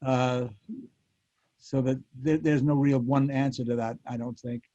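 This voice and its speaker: Older man, mellow, dull roomy audio. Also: mid-range voice, little pitch movement, measured pace. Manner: hesitant, repeats and restarts phrases. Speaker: former radio actor, interview guest. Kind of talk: unscripted interview answer.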